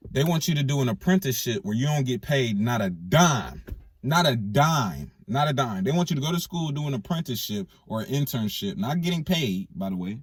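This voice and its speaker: A man's voice: mid-range voice, darkish tone, no echo. An American man giving a casual, emphatic opinion rant as a vlogger, repeating phrases for stress, his voice slightly worn from a cold. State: losing his voice, getting sick. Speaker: online vlogger.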